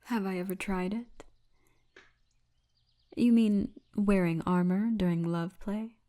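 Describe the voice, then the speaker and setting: Woman playing a soft, mellow female orc guide. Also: medium pitch, close to an everyday voice. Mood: teasing, wistful. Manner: soft, intimate, unhurried storytelling, often breathy. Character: friendly, teasing female orc tour guide, gentle and candid. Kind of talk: ASMR roleplay, addressed to the listener.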